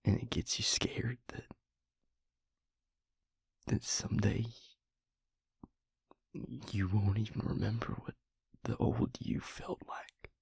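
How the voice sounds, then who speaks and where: Man acting a husky, whispering, grief-stricken vampire. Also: lowish voice, level tone, no room sound, exaggerated voice. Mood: subdued, sorrowful. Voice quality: breathy, husky, gravelly near-whisper. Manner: halting, hushed, confiding. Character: grieving, guilt-ridden male vampire confiding in his mate. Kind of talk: intimate audio roleplay monologue.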